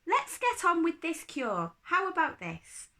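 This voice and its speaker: A woman voicing an imperious, boastful little princess in a children's story, with a haughty, brisk, emphatic manner. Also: very high-pitched, wildly swooping pitch, pushed and bright, pitched above the performer's own voice, full character voice. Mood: bossy.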